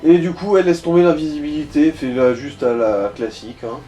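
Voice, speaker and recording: Man, soft dark timbre, casual coaching commentary, slang and swearing, noisy background. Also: a little high, lively intonation, a little fast. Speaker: gaming co-host guiding a player. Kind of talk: casual Let's Play commentary.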